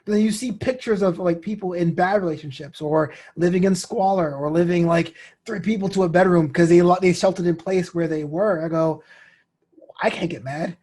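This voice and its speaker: A man's voice: high voice, normal speed. A man in his thirties from Brooklyn with an American accent, talking candidly and telling stories as an interview guest. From Brooklyn, United States. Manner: animated storytelling, acts out inner quotes. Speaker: interview guest, comedian and host.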